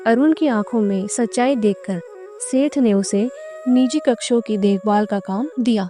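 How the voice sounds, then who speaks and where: A woman's voice: a little high, unhurried, dark tone. A woman reading scripted narration for a moral story as its narrator. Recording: clear background music under narration.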